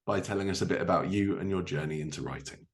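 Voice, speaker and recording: A man's voice: sits low, fast talker. A man hosting a podcast, delivering scripted introductions and interview questions. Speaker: podcast host.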